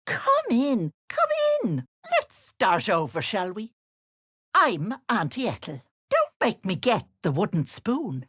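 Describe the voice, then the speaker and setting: A woman's voice: mid-range voice, wildly swooping pitch, slow, a bit bright, breathy edge, dry recording, clean studio sound, full character voice. An actress voicing an older, scheming auntie witch in a video game, with a honeyed, mocking way of talking. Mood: sugary. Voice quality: honeyed, mocking, sly endearments. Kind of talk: game voice lines.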